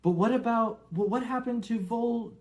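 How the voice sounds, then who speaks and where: A man voicing a timid, earnest young boy with a hesitant, quiet manner in an audiobook. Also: very high-pitched, moderate pace, pitched above the performer's own voice. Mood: anxious, curious.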